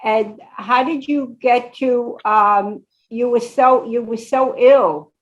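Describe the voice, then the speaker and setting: An older woman asks her questions slowly, stopping often to hunt for the right word. The recording sounds slightly dull and muffled. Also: fairly high pitch. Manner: hesitant, searching for words with many fillers. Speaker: interviewer. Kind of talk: interview questions.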